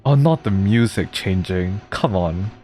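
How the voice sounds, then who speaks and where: A man's voice: low voice, animated, a little slow, darkish tone. A man giving casual gameplay commentary as a streamer, reacting in a slangy, exclamatory way. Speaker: streamer playing and reacting to a game. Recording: game music faintly behind.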